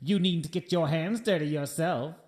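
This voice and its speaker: An actor playing a megalomaniac mad scientist villain in a radio play, theatrical, condescending and given to melodramatic rants. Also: male voice, on the high side, moderate pace, a little mellow, lightly acted. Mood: condescending, cold.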